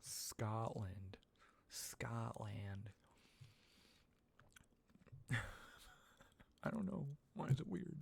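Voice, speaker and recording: An American man hosting a live ASMR question-and-answer stream, talking softly and slipping into whispers, with frequent chuckles. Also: fairly low pitch, big pitch swings, very slow, gravelly, long pauses, slight background noise. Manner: soft-spoken, drifting into whispers, chuckling often.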